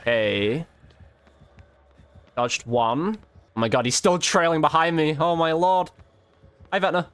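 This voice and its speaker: A man's voice: a little high, takes it slowly, pauses often, fairly bright tone, clean tone, dry recording, soft background music. A man giving casual, informal gameplay commentary as a video host, with slang and mild swearing.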